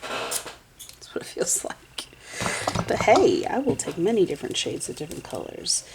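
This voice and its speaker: A woman's voice: on the low side, huge pitch swings, normal speed, background noise. A woman in her thirties, congested, chatting casually as she swatches and compares nail polish, often dropping to a whisper while she works. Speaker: nail art vlogger.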